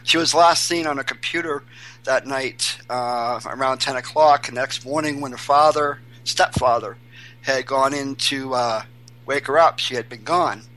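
Guy from maine, guest on a podcast, bright voice, keeps restarting his sentences. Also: medium pitch, steady tone, rough recording. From Maine, USA. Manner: halting, many restarts and fillers. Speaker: guest, volunteer searching for missing children. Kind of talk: casual interview.

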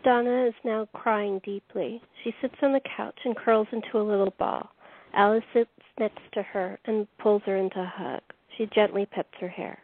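A woman's voice: medium pitch, fairly even intonation, moderate pace. A woman narrating a scripted radio play in the present tense as its narrator. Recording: thin, phone-like band-limited audio.

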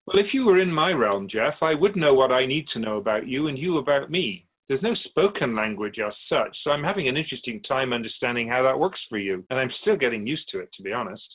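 An otherworldly male spirit played by a man speaks as a guest in a radio drama. He is calm and explanatory, curious about human speech, with a lively, varied pitch. The recording is a little dull and lo-fi. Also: fast talker, hardly any pauses, lightly acted. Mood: candid, measured.

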